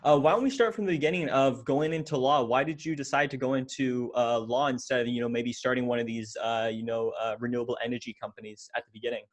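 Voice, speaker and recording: Eager, stumbling young podcast host. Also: male voice, medium pitch, steady tone, fast talker, few pauses. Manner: eager, stumbling with many "like" and repeated words. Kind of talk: casual interview hosting. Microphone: podcast microphone in frame.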